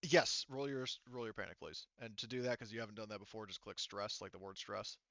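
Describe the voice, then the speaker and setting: Male game master, bright and clear, informal and sweary, dry but slightly lo-fi voice-chat sound. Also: on the low side, fast talker, no echo. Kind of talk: running a tabletop roleplaying game, casual explaining.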